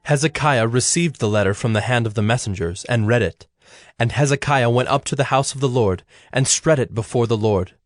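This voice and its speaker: A man's voice: mid-range voice, brisk, dry recording, studio quality, soft background music. A man narrating scripture from a script as the storyteller of an audio drama.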